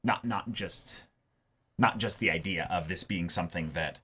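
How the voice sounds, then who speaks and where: A man co-hosting a casual episode review, excitable and halting, often repeating words and swearing. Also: low voice, a little hiss or hum. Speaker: reaction show co-host.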